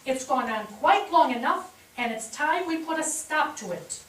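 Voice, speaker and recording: Actress as high-voiced earnest daughter, echoey stage. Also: female voice, animated, normal speed, a bit bright, a little hiss or hum. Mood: insistent. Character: earnest, insistent grown daughter of a widow. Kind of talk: theatrical staged reading. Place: theatre stage, picked up from far off. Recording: distant, echoey theatre.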